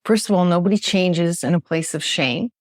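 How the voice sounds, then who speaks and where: Woman guest with a big loud voice, slightly low, energetic explainer style, dry close mic. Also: normal speed, no room sound. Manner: emphatic, animated, frequent tag question "right?". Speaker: communication specialist guest. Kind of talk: podcast interview, explaining with examples.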